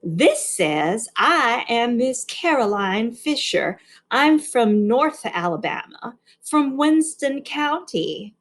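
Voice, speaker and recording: A prim young schoolteacher, as a woman reads her aloud, pitched fairly high and drawn out. She is sugary and patronizing with children, emphasizing her words like a storybook lady. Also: big pitch swings, takes it slowly, heavily acted. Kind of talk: read-aloud storybook character.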